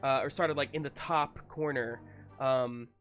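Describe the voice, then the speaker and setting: A man improvising narration as game master of a tabletop game, piecing scenes together haltingly. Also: medium pitch, fairly even intonation, a bit bright, smooth, faint music behind. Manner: halting, searching for words in pieces.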